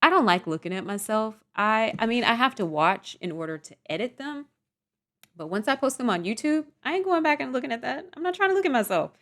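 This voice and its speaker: A young woman vlogger rambling softly and self-deprecatingly through a casual storytime monologue. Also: a little high, expressive, fairly quick.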